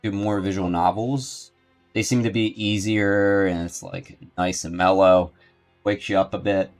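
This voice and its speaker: A man's voice: low voice, steady tone, unhurried. A man doing casual livestream game commentary, rambling and thinking aloud as he goes. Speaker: video game streamer and host. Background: game music.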